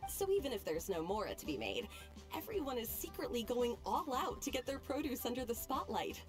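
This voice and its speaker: A woman's voice: fairly high pitch, very animated, brisk, music in the background. A woman voicing a friendly, knowledgeable festival-goer in a video game, chatty and informative.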